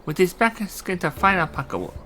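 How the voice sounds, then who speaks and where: A man's voice: sits high, very animated, a little fast, sharp tone, a little hiss or hum, voice pushed up, exaggerated voice. A man voicing an earnest, impulsive young royal heir in a fantasy video game, speaking emphatically. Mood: eager. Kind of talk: amateur character voicing of game dialogue.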